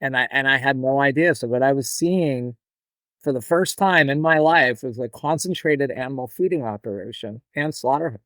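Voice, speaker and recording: A man in his forties from the United States, an author and farmer guest on a podcast, telling his story in a relaxed, rambling way. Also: mid-range voice, normal speed, no room sound. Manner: relaxed, storytelling, some restarts. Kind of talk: storytelling in an interview.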